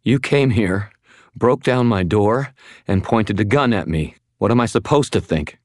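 A man voicing a frightened, pleading woman with a thready, breathy voice in an audiobook. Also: mid-range voice, lively intonation, moderate pace, darkish tone, no echo, studio quality, pitched above the performer's own voice, natural voice. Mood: indignant, shaken. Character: frightened, pleading adult woman, timid but indignant.

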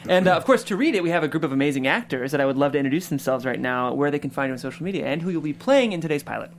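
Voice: mid-range voice, animated, racing. A podcast host welcoming listeners and reading scene directions in a chatty, upbeat way. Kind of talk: hosting a table read and reading stage directions.

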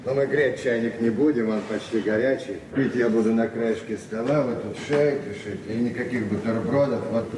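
An actor playing a courteous, easygoing lodger in a Soviet radio drama, speaking in an unhurried, gently coaxing way. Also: male voice, medium pitch, natural voice. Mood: mild, coaxing. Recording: noisy, distant, echoey old radio recording.

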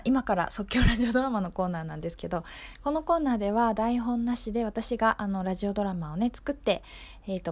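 A woman presenting a radio segment, explaining it casually and without a script to her listeners. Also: medium pitch. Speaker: radio show presenter and performer. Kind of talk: casual unscripted segment introduction.

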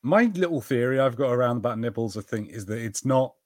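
A man co-hosting a casual film podcast, explaining in halting bursts and acting out mock quotes. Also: mid-range voice, lively intonation, no room sound, clean studio sound. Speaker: podcast co-host. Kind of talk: casual film watch-party banter.

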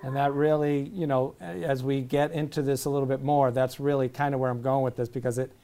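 Guy hosting an interview, warm mellow voice, rambly questions. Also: medium pitch, level tone, fast, clear, resonant tone. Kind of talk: casual interview.

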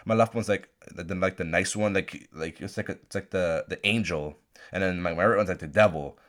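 A man's voice: low-pitched, a little fast, fairly bright tone. A man with a stuffy nose talking into a desk microphone, rambling through a casual solo rant and swearing constantly. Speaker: podcast host. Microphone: desk microphone at a laptop.

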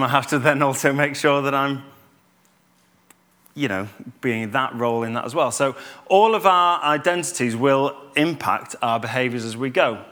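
A man, giving a calm, conversational church talk with fillers and restarts. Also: medium pitch. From Yorkshire, England. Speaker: church speaker.

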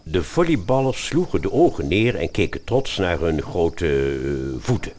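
A man narrating a comic fairy-tale audio drama as an expressive storyteller. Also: low voice, measured pace, a little hiss or hum.

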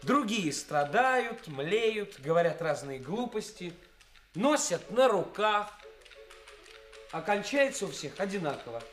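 Brash young stage-player type, cynical and declamatory, unhurried delivery, radio drama over music. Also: male voice, high-pitched, very animated, exaggerated voice. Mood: brazen, pompous. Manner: theatrical, declamatory, self-important. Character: young male actor posing as a brazen, cynical bridegroom. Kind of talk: radio play acting. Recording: radio play recording with music.

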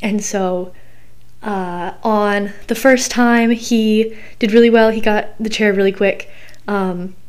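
A young woman with a hoarse, worn-out voice, hosting a podcast in a rambling, chatty way full of fillers. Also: mid-range voice, steady tone, slow pace, darkish tone. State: hoarse, recovering from losing her voice. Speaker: podcast host. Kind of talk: casual solo podcast chat.

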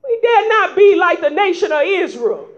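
A woman preaching a sermon in folksy vernacular, rising into shouts and prodding the congregation with repeated calls of "huh?" in a dull lo-fi recording. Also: very high-pitched, sing-song, normal speed. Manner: emphatic call-and-response preaching with frequent "huh?" prompts.

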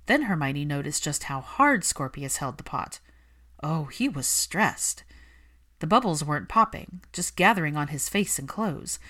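A woman's voice: fairly low pitch, a bit bright. A woman reading scripted fiction aloud as a story narrator.

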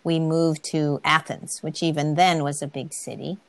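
A woman interviewing a student, speaking calmly in a conversational tone and sharing memories from her own youth. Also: low voice, moderate pace. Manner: calm, conversational, telling a personal story. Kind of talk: casual conversation.

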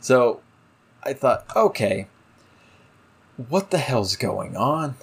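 A man's voice: medium pitch, sing-song, takes it slowly, gravelly, fairly bright tone, breathy edge. Exasperated man ranting on a discussion show. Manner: exasperated, ranting, emphatic, rhetorical questions. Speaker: podcast co-host reviewing a TV series. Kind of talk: casual opinionated episode discussion.

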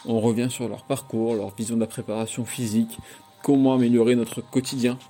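A man reading a podcast introduction in a steady, scripted way. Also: mid-range voice, fairly quick. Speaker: podcast host. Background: background music.

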